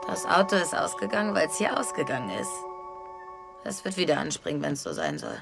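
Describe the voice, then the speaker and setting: A woman's voice: low-pitched, huge pitch swings, fairly quick, gravelly, exaggerated voice. An actress playing a fatalistic, menacing female killer who talks in a blunt, matter-of-fact way in a dubbed drama series. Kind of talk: German-dubbed TV series dialogue. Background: music.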